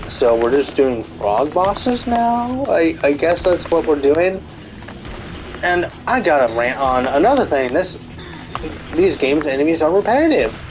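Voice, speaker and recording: A man's voice: a little high, sing-song, a little slow, music in the background, low-quality recording. A man in a casual, rambling commentary rant, full of fillers and restarts, swearing often, with a stuffy nose. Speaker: commentary YouTuber.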